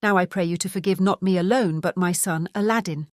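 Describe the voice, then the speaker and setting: A woman's voice: lowish voice, brisk, dry recording, natural voice. Woman playing a humble, pleading mother, dry sound. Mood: pleading, apologetic. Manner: deferential, earnest, formal. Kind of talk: dramatized fairy tale audiobook.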